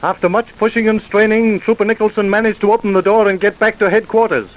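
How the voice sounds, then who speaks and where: High-pitched old-time radio narrator played by a man. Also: fairly even intonation, fast talker, close to an everyday voice, poor audio. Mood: storytelling. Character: earnest radio serial narrator.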